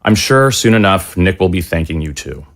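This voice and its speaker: A man's voice: deep voice, sing-song, moderate pace, fairly bright tone, full character voice. A man playing an earnest, plain-spoken father searching for his son in an audio drama. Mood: relieved, grateful.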